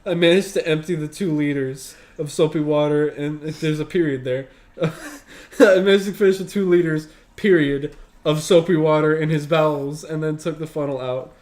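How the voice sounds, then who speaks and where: A man's voice: a little high, steady tone, moderate pace, darkish tone. A man reading a story aloud as a show host, breaking off for casual, sweary commentary.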